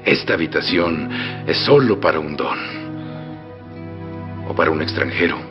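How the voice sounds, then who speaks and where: An actor with a Mexican accent dubbing a middle-aged revolutionary general who teases, threatens and bursts into loud laughs. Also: male voice, a bass voice, animated, normal speed. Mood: jovial. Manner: teasing, swings from soft menace to loud outbursts. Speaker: dubbed film character. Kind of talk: film dubbing. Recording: dull film soundtrack with long reverb and faint music.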